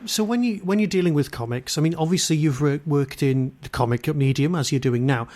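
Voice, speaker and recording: A man's voice: on the high side, brisk, darkish tone, slight background noise. A man hosting a podcast interview, chatting informally and jokingly with his guest. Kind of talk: casual interview chat.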